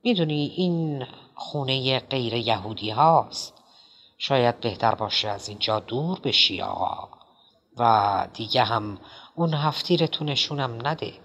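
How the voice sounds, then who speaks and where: A man reading an audiobook, voicing a rattled, eccentric middle-aged man who pleads and mocks in a jittery, high-strung way. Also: lively intonation, fairly bright tone, lo-fi sound. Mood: sneering. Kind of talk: audiobook character voice.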